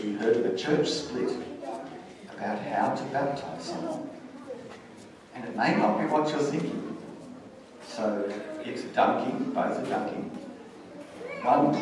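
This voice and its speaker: A middle-aged man preaching a sermon and reading scripture in a measured, plain-spoken way. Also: mid-range voice, background noise. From Australia. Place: church stage, indoors. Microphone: stand mic at lectern, heard from far camera. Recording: distant, very echoey church hall recording.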